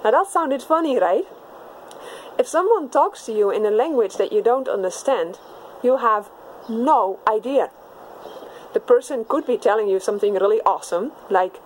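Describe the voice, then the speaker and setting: Woman, Dutch accent, children's message presenter, simple and clear, mid pitch. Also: expressive, normal speed, slight background noise, poor audio. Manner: simple, clear explanations to kids. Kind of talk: friendly talk to children.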